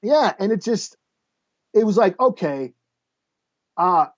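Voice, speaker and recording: High-voiced man, blunt, slightly muffled recording. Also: expressive, takes it slowly, no room sound. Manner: blunt, profane, slangy. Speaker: comic book podcast host. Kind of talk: casual review chat.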